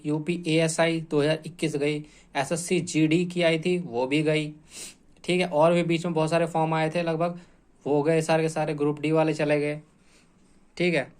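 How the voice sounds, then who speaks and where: A young man giving an informal point-by-point lesson as an online exam-prep host, repeating himself and often checking in with listeners. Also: a little high. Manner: repetitive, often checking in with "okay" tags.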